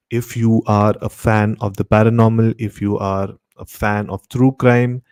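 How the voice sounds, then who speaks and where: A man with a fairly low voice, speaking as the host of a late-night audio show. He addresses listeners directly and informally, with deliberate phrasing and repeated words for emphasis. The sound is close and dry. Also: darkish tone, no room sound. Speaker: podcast host.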